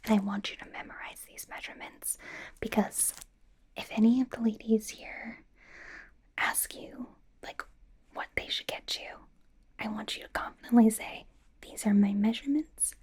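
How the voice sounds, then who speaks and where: A woman performing a whispered ASMR roleplay as a bubbly, doting young pop idol stylist, breathy, playful and reassuring, with chatty asides. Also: fairly high pitch, fairly even intonation, normal speed, darkish tone.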